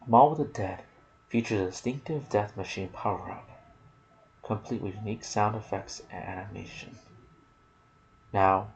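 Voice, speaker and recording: A soft-spoken man hosting an ASMR gaming video, reading trivia with casual asides and sometimes dropping into a whisper. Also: fairly low pitch, normal speed, vocal fry, long pauses, low-quality recording.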